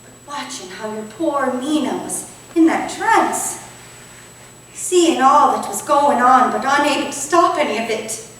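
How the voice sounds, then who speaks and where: Lady playing a modest victorian vicar's wife, bit high voice, hesitant n wistful, echoey stage, far from mic. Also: lively intonation, measured pace, fairly bright tone. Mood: confessional, troubled. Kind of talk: theatrical staged play reading. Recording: echoey hall from far off, faint noise.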